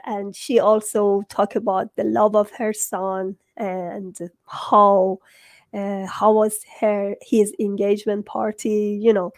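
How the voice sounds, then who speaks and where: A woman's voice: mid-range voice, a little mellow, no echo. A woman with a Persian accent, a native Farsi speaker, hosting a conversational interview. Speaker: host, book coach.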